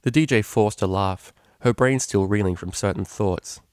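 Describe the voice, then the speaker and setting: Male story narrator, lowish register, recorded dry and close. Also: moderate pace, clear, resonant tone, dry recording. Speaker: narrator, fan fiction reader.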